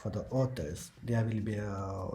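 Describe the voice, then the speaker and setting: A man hosting a screen-recorded software tutorial, explaining each step as he clicks through it. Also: lowish voice, a little slow, faint music behind. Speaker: tutorial host.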